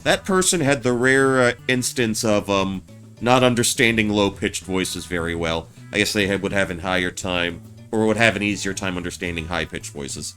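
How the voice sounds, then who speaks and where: A man streaming a game, chatting casually in a naturally low voice, often stammering and restarting his sentences. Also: moderate pace, fairly bright tone. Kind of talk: casual let's-play commentary. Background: game music.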